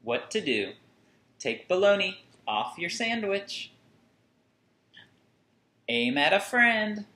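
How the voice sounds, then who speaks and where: A man in his thirties reading a picture book aloud to children with deliberate, singsong phrasing and dramatic emphasis. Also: sits high, big pitch swings, bright, forceful tone. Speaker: children's story reader. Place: small furnished room at home, indoors. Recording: roomy indoor echo.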